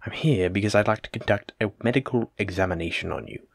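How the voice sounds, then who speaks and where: A man's voice: lowish voice, fast talker, vocal fry, no echo, clean studio sound. A man playing a courteous, evasive, self-important plague doctor in an audio roleplay, speaking formally and fussily in old-fashioned wording. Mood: polite, insistent. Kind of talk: hobby audio roleplay acting, addressed to the listener.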